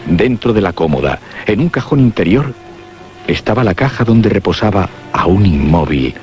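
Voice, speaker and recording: Really low voiced guy narrating a horror radio play, dramatic pauses, music behind him. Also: sing-song, darkish tone. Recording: muffled radio drama recording. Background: prominent music.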